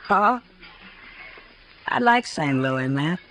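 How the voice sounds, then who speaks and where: A woman's voice: low-pitched, sing-song, extremely slow, fairly bright tone, full character voice. An actress playing a frank, worldly, caring woman of the Old West in a 1950s radio western, direct and knowing. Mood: concerned. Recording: noisy 1950s radio recording.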